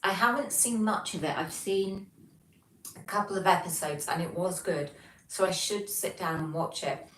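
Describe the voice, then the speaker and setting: A young British woman hosting a livestream from her flat, reading out chat messages and rambling casually with lots of ums. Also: lowish voice, normal speed. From Britain. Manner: chatty, rambling, many ums. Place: home, flat. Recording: echoey room, distant mic, faint noise.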